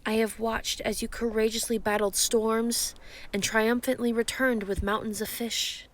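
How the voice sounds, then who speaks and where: A woman playing an ancient, giant female sea creature, calm and grandiose, flirting possessively in an intimate roleplay monologue. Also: on the high side, measured pace, close to an everyday voice. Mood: teasing adoration. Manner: calm, teasing, grandiose, formal wording.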